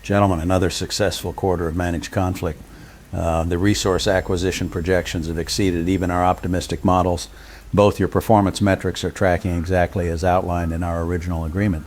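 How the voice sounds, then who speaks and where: A man's voice: low voice, little pitch movement, brisk, a little mellow, a little hiss or hum, close to an everyday voice. A man playing a calculating corporate executive villain in an audio drama, speaking in formal business phrases. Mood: smug, businesslike.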